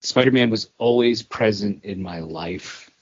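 A man co-hosting a podcast, chatting casually in a halting, earnest, conversational way. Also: lowish voice, breathy edge, highs cut off. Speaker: podcast co-host. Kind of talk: casual chat, personal reminiscing.

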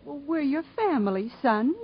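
A loving older mother, sorrowful, with a fairly high voice. It is fifties radio drama with dull, dated broadcast sound. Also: expressive, normal speed. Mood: sorrowful, reassuring. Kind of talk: 1950s radio drama acting.

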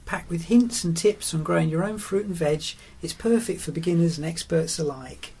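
A woman's voice: on the low side, normal speed, vocal fry, mellow, a little hiss or hum. A woman delivering a scripted advertisement voice-over for a magazine.

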